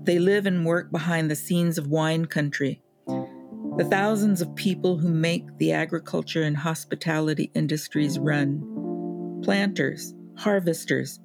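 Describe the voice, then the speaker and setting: A woman co-hosting a documentary who reads scripted narration in a steady, deliberate way. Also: low voice, music in the background. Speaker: co-host and narrator.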